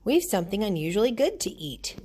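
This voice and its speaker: A woman's voice: medium pitch, big pitch swings, a little fast, dark tone, husky, voice pushed up. A gleeful old storybook witch, played by a woman reading aloud. She chants and cackles theatrically, lifting well up and swooping widely through her lines. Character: gleeful old witch cooking bone soup.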